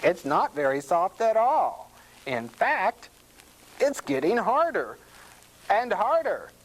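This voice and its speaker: A woman's voice: rumbling low, very animated, slow pace, a little mellow, full character voice, low-quality recording. A woman voicing a small, fussy, mud-loving male pig in a storybook, with plaintive exclamations. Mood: puzzled, worried.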